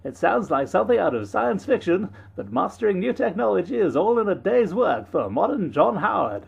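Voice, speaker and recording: A man voicing a pompous, chirpy 1940s newsreel announcer, brisk, declamatory and jovially condescending, in a patriotic propaganda parody. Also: high-pitched, sing-song, vocal fry, slightly rough, exaggerated voice. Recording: dull, band-limited vintage newsreel sound.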